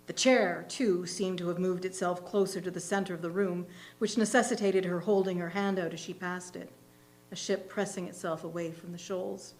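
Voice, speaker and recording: A woman reading literary fiction aloud as a reader's theatre performer, in a measured, expressive storytelling manner. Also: lowish voice, rough recording. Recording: faint hum and background noise.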